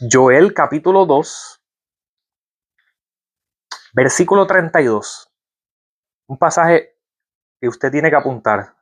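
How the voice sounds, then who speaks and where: A man giving a Bible-teaching sermon, reading verses aloud and asking his listeners rhetorical questions. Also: on the high side. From Puerto Rico. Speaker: preacher.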